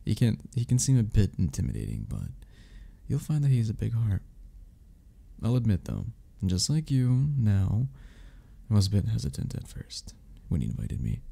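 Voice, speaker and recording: A man playing a gentle, patient, flirty cuddle club member in an intimate ASMR romance roleplay, soft-spoken, considerate and reassuring, with a gravelly, husky voice. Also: lowish voice, very animated, normal speed, full character voice. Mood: reflective, candid.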